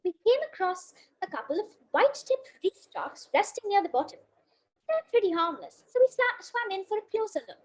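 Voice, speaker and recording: A woman's voice: very high voice, very animated, fairly quick, darkish tone, rough recording. A female reader reading a children's picture book aloud in a careful way, now and then tripping on a word. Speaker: story reader.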